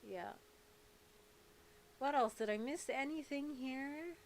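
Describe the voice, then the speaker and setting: A woman's voice: high-pitched, takes it slowly, slight background noise. A woman born in England and raised in Saudi Arabia, hosting a casual livestream chat in a rambling, blunt, candid way. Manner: rambling, candid, blunt, jumping between topics. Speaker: livestream host and activist.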